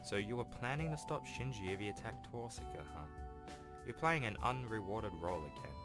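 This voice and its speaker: A man's voice: fairly low pitch, animated, brisk, voice pushed up, lightly acted. A man voicing a prim, suspicious teenage schoolboy who speaks in a blunt, judgmental way in a visual novel. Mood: disdainful. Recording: voice over game music.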